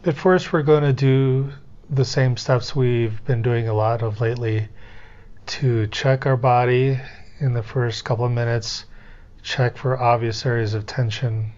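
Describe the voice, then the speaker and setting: A man's voice: mid-range voice, fairly even intonation. Man guiding a meditation, slightly muffled recording. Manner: gentle, unhurried step-by-step coaching with pauses. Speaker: guided meditation teacher. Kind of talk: guided meditation instruction.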